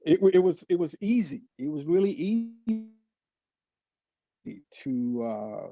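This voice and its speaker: Casual panelist: middle-aged man from Florida, heavy vocal fry, hesitant delivery, muffled video-call sound. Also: on the high side, big pitch swings, over music. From Florida, United States. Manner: halting, hesitant, many fillers and restarts. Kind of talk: casual reminiscing in a panel discussion.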